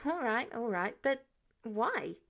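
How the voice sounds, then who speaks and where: A woman voicing a cheeky, sarcastic teenage boy superhero with quick, indignant retorts in an audiobook story. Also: a little high, very animated, a bit bright, full character voice.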